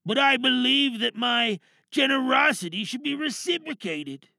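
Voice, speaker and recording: A man's voice: extremely high pitch, lively intonation, normal speed, creaky, pushed and bright, dry recording, exaggerated voice. A man playing a grandiose, paranoid villain leader who addresses his followers in theatrical, menacing oratory for an audio drama monologue. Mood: suspicious, probing.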